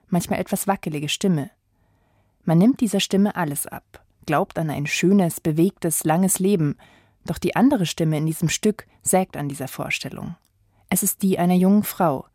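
Woman reading a radio feature, very low voice, calm measured delivery, dry booth sound. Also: no room sound. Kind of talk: scripted narration.

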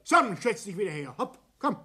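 A man's voice: high voice, big pitch swings, moderate pace, husky, full character voice. An actor playing a domineering, hot-tempered middle-aged Bavarian father and business owner in a stage-style drama, commanding and blustering. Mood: coaxing, insistent. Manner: blustering, commanding, swinging between coaxing and shouting. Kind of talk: dramatic stage-play acting in dialect.

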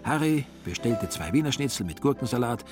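A man narrating a literary audio drama in a measured, dryly ironic way. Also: medium pitch, animated, over music.

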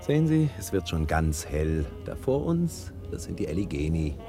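An actor playing a male private detective, bossy, excitable and falsely soothing, in a 1960 German radio drama. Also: low-pitched, normal speed, music in the background. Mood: soothing, smug. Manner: commanding, swinging from soothing to barked orders.

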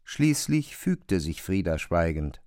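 A man narrating a literary radio drama in a measured storytelling manner. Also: deep voice, big pitch swings, a little mellow, dry recording, clean studio sound.

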